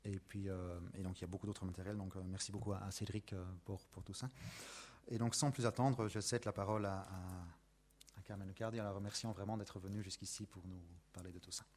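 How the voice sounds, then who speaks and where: A man's voice: low voice, flat delivery, fast talker, darkish tone. A man moderating an academic seminar, introducing the speakers in a hesitant way with frequent fillers. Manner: hesitant, many fillers and restarts.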